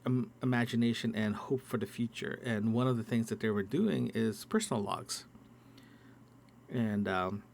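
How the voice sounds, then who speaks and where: A middle-aged man with a gravelly voice recording a casual solo audio log, haltingly thinking aloud and repeating words. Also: on the low side, a little hiss or hum. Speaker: podcast host. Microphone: small handheld recorder.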